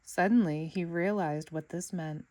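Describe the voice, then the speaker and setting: A woman reading a children's storybook aloud in an expressive narrating style. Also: on the low side, unhurried. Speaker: storybook reader.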